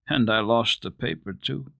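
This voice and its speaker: A man's voice: medium pitch, moderate pace, vocal fry, pushed and bright, dry recording, studio quality. A man voicing a naive, earnest goatherd boy in a storybook, simple and innocent in manner. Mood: confessing.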